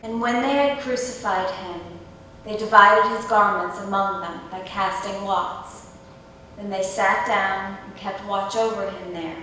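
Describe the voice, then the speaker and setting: Female reader, medium pitch, scripture narration, long reverb, distant mic. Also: pushed and bright. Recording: echoey church hall, distant mic, faint rumbling noise.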